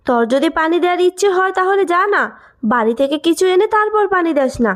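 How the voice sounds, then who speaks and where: A woman's voice: very high voice, lively intonation, quick pace. A woman voicing a greedy, scornful village sister-in-law in a cartoon moral story, brusque and scolding. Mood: irritated scolding. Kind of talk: animated moral story acting.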